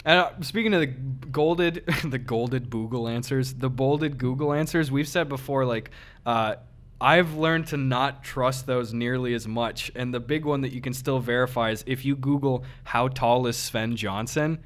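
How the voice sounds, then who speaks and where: A man's voice: medium pitch, unhurried. A man from the American Midwest with an American accent, co-hosting a casual comedic chat in a slangy, profane, riffing way. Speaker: podcast co-host.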